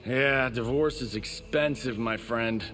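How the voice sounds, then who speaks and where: An actor playing a brash movie-star fighter in a video game, casual and showy. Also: male voice, medium pitch, unhurried, fairly bright tone, soft background music, lightly acted. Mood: casual, rueful.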